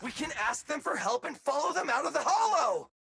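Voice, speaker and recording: A goofy, excitable male android gunslinger in a game cutscene. His delivery is lively and dramatic, sharp-edged with a crackly rasp, prone to whining and exclaiming. There is some background noise. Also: extremely high pitch, brisk, no echo, full character voice. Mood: excited. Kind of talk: animated game cutscene acting.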